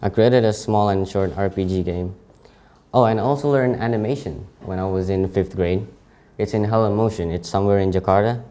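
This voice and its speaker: A teenage student whose first language is Indonesian presents his own CV in English with an Indonesian accent. His voice sits a little low and has a warm, soft tone. He speaks haltingly, often breaking off and correcting himself. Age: late teens. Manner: halting, frequent self-corrections. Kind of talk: informal presentation.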